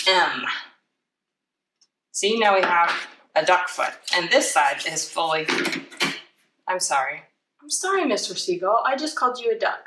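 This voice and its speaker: A woman giving a casual craft demonstration for children, chatting playfully with self-deprecating asides. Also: fairly low pitch. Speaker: children's library storytime presenter. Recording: roomy, distant mic, clear background noise.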